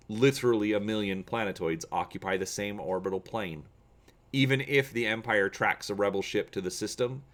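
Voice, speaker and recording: A man with a lowish voice hosts a dramatic reading of an adventure gamebook. His phrasing is measured and theatrical, in the manner of an audiobook narrator. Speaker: host of a gaming channel.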